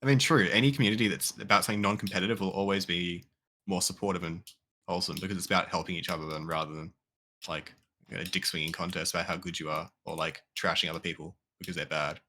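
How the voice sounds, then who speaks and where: A man's voice: low voice, steady tone, fast talker, sharp tone. A man chatting casually while streaming a modded game, rambling and swearing loosely. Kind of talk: casual gaming chat.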